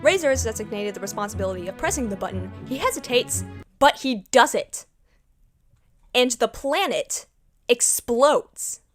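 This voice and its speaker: Female video essayist, higher register, lively swooping intonation, exclamatory casual fan commentary over light background music. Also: moderate pace. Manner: exclamatory, slangy, emphatic delivery. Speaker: YouTube video essayist, fan of animated series. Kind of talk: casual, excited episode-by-episode recap commentary.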